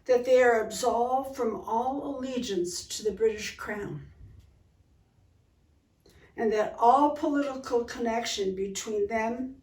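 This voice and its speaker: A woman reading a historic document aloud in a solemn, deliberate, measured way. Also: on the high side, a little mellow. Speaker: public reader at a commemorative event. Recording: roomy echo, distant microphone.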